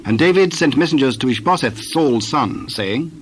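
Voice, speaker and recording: Solemn male scripture narrator, mid pitch. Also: expressive, slight background noise. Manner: measured, phrase-by-phrase reading.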